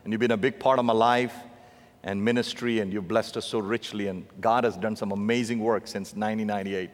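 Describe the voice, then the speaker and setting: A man's voice: on the low side, fairly even intonation, brisk. Indian-accented man preaching in a big hall. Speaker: missionary preacher. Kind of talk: sermon. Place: large church sanctuary. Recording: long reverb of a big hall.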